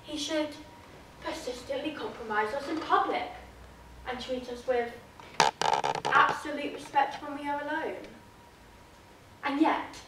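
A woman's voice: high-pitched, measured pace, long pauses, background noise. A woman performing a theatrical monologue as a witty, arch Victorian society lady, drawing out and savoring each phrase. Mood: arch, teasing. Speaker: drama student performing a monologue. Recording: very echoey room, mic far off.

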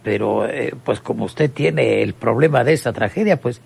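A man playing a formal, hesitant town mayor with a husky, gravelly voice, hemming constantly in an old radionovela. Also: mid-range voice, moderate pace, darkish tone. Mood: officious, hesitant. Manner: constant "eh" hesitations, stammering officialese.

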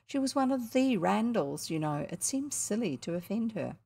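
A woman's voice: mid-range voice, big pitch swings, normal speed, vocal fry, darkish tone, husky, full character voice. A woman voicing a polite, anxious mother in a storybook, hesitant and fussing as she speaks. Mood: worried.

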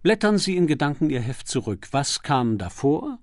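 Suave gentleman sleuth read by a man, patient and confident, courteous probing questions, audiobook dialogue, very dry sound. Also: on the high side, very animated, normal speed, dry recording. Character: suave male aristocratic amateur detective, patient, probing, confident.

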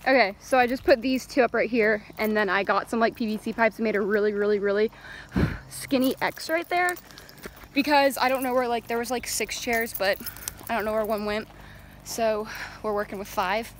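A woman's voice: fairly high pitch, moderate pace. Chatty young woman vlogging at a barn. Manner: chatty, rambling with many "like" and "um" fillers. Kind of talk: casual vlog chat. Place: outdoors and in a horse barn. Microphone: phone camera held at arm's length.

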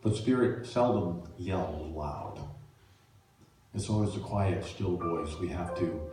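Deep male voice, soothing meditation leader, measured phrasing, very reverberant room, distant mic. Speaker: minister leading guided meditation. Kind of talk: guided meditation, spiritual talk. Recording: echoey room, distant mic, faint music.

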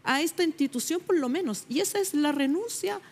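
Middle-aged woman journalist on a tv panel, fairly high voice, emphatic, lots of ums, lapel mic. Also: expressive, fairly quick, a little mellow. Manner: emphatic, searching for words with many fillers. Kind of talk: television panel debate. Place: television studio.

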